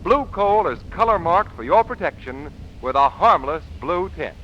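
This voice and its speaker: A man's voice: fairly high pitch, lively intonation, moderate pace, dull audio. A man reading a 1940s radio advertisement as an announcer, with emphatic salesmanship. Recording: vintage radio recording, faint noise.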